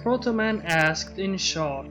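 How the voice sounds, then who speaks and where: A man's voice: high voice, lively intonation, slow pace, bright, forceful tone. A man reading fan fiction aloud as the narrator. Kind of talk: story narration. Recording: muffled mic with music behind. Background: music.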